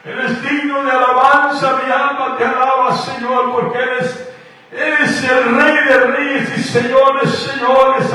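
A man's voice: extremely high pitch, a bit bright. A man from Guatemala, preaching a radio sermon in a fervent, sing-song cadence. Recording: echoey, distant radio broadcast with faint music.

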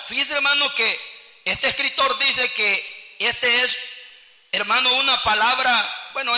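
A man's voice: extremely high pitch, pushed and bright. A man with a Salvadoran accent preaching an evangelical sermon in a colloquial, exhorting way, often putting questions to his listeners. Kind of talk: impassioned church sermon with scripture teaching. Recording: long hall reverb.

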